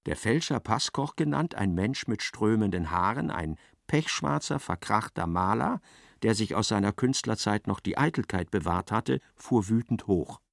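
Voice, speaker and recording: An actor playing a dry storyteller, giving measured, precise literary narration in a radio play. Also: male voice, on the low side, expressive, creaky, dry recording. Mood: measured, emphatic.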